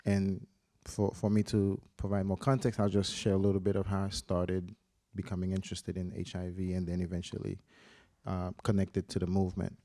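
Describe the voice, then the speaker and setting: A man's voice: low-pitched, monotone, brisk, dark tone, no echo, studio quality. A man in his forties from Haiti, a researcher on a conference panel, telling his story earnestly with many restarts and fillers. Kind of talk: panel discussion, personal story.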